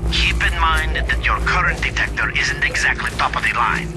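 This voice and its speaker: A man's voice: medium pitch, animated, moderate pace, music in the background, lightly acted. An actor playing a practical, watchful male fighter who gives direct instructions in a video game. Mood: instructive. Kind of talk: scripted video game dialogue.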